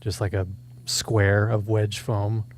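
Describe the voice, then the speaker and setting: A man's voice: lowish voice, level tone, slow, a little hiss or hum. A man co-hosting a show, asking questions and chatting casually in an interview.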